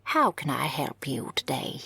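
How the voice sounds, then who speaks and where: A woman playing a kindly older female librarian in an audio roleplay, with a husky, creaky voice and gently formal, folksy manner. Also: very deep voice, big pitch swings, measured pace, no room sound, exaggerated voice. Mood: helpful, gentle. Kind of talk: scripted audio roleplay acting.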